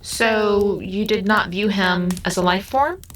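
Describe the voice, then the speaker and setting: A woman's voice: medium pitch, slow pace, a bit bright. Woman playing a cold, probing shapeshifting spirit daughter, faint noise. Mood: probing, detached. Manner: formal, literal, interrogating. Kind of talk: audio drama acting.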